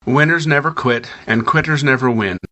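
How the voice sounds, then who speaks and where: A man with a mid-pitched, forceful voice delivers a scripted motivational saying. The sound is slightly dull and lo-fi. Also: moderate pace.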